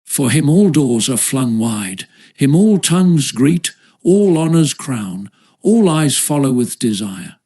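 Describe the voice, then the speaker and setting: A man narrating a philosophical essay as an audiobook, with a formal, measured literary delivery. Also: medium pitch, sing-song, dark tone.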